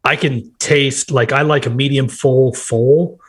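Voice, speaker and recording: A man in his thirties from Nebraska with a nasal, hoarse voice, chatting casually as a podcast guest and telling rambling stories. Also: medium pitch, fairly even intonation, unhurried. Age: 30s. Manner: rambling, quotes himself in bursts. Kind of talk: casual chat.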